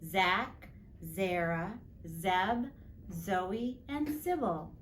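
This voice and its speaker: A woman's voice: mid-range voice, expressive, drawn-out words, a little hiss or hum. A woman reading a children's story aloud as narrator. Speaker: storybook reader.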